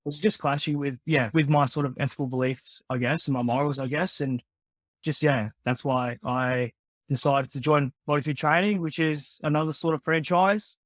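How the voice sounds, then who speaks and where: A young man, a personal trainer and osteopath answering interview questions in a relaxed, friendly way with lots of "yeah". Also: fairly high pitch, normal speed, no echo. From born in the Philippines, raised in Australia. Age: 20s. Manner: relaxed, friendly, many yeahs and restarts.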